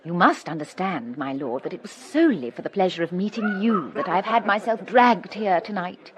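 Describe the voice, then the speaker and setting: A woman's voice: fairly low pitch, lively intonation, normal speed, some chatter behind, natural voice. An actress playing a flirtatious, theatrical society lady in a period radio drama, silky, mock-pious and provoking. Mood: grandly pleading.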